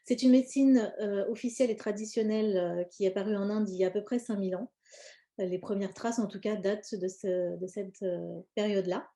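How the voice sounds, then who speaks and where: A woman presents in an informal, explanatory way. Her voice is soft-edged and mellow at a medium pitch. She often hesitates and pauses partway through her phrases. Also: steady tone. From born in India, grew up in France. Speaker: presenter, cosmetics brand founder.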